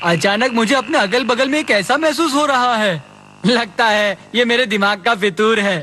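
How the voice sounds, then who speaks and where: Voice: medium pitch, moderate pace, sharp tone, slight background noise, natural voice. A performer voicing a greedy, jumpy bullying boy in a cartoon dub. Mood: puzzled. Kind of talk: Hindi cartoon dubbing.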